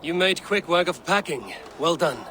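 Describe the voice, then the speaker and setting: A man playing a steadfast, loyal Viking warrior in a game cutscene, with formal, measured speech. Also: fairly high pitch, animated, bright, forceful tone, slight background noise. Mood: resolute. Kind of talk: dramatic game cutscene acting.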